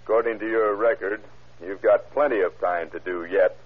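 An actor playing a brisk, gloating tough immigration officer in a 1930s radio crime drama, curt and taunting. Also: male voice, fairly low pitch, steady tone, breathy edge. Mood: smug, curt. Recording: tinny, band-limited old radio broadcast, noisy bustle.

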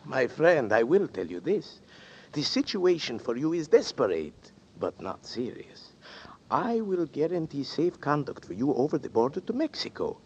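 A man's voice: fairly high pitch, huge pitch swings, moderate pace, a little mellow, husky, exaggerated voice. An actor playing a small, sly male schemer who talks in a florid, mocking, formal way, in a 1940s radio drama. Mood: sly, amused. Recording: dull old radio broadcast.

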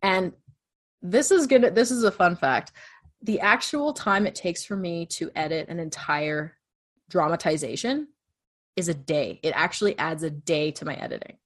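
A woman with a low-registered voice, chatting casually as she walks through her podcast process step by step. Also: animated, normal speed. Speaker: true crime podcast host. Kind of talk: casual conversation.

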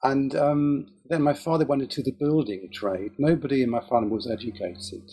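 A man's voice: medium pitch, a little fast, mellow, breathy edge. A man raised in England after an early childhood in Israel, speaking English with an English accent and being interviewed about his life in music, thoughtful and hesitant with many restarts. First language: Hebrew. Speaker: guest, music academic and musician. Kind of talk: relaxed interview conversation.

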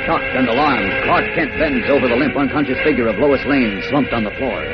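A man's voice: mid-range voice, fairly quick. An American man narrating a radio serial in dramatic 1940s style. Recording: dull old radio broadcast. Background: dramatic music.

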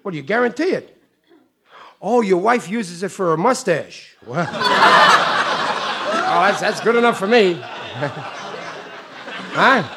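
A man's voice: sits high, expressive, slow pace, pauses often, heavy background noise, full character voice. A man playing a bumbling middle-aged working-class American husband in an old radio comedy, blustering and stammering. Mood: sheepish. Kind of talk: 1940s radio sitcom acting. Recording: old radio recording, audience laughter.

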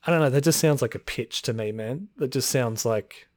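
A man co-hosting a podcast in casual chat, slightly hoarse, ranting in frustration with frequent sighs. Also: mid-range voice, brisk, dry recording, studio quality. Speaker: podcast co-host.

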